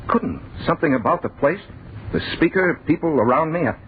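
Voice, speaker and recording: An actor playing a bewildered, agitated man who narrates a nightmare in halting fragments, in old-time radio horror style. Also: a little high, expressive, a little hiss or hum. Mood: uneasy, dazed. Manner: halting, fragmented, overwrought delivery. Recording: tinny, band-limited old radio broadcast, crowd murmur.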